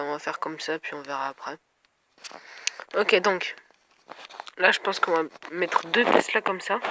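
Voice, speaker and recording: A man's voice: high voice, background noise. A man with a head cold giving casual gameplay commentary, thinking aloud and hesitating as he talks to his viewers. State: stuffed up with a cold. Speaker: gaming video creator.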